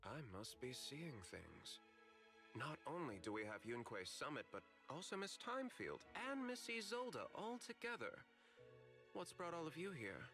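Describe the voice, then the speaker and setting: An actor playing a shrewd, calculating company man in a video game, speaking in a smooth, formal, measured and faintly theatrical way. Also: on the high side, sing-song, music in the background. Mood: feigned surprise. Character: shrewd, calculating corporate man, politely self-serving.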